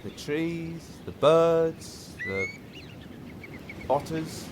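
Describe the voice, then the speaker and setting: A man's voice: a little high, flat delivery, very slow, noisy background. An actor playing a teasing, wistful young countryside man, blunt and informal, in an audio play. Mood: defensive teasing. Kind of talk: naturalistic audio play dialogue.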